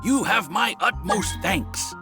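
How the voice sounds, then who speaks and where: A man's voice: sits high, slow. A man voicing a jovial, obliging landlord in an anime game, with effusive, courteous manners. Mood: delighted. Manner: effusive, courteous, chuckling, salesman-like. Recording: music behind the voice.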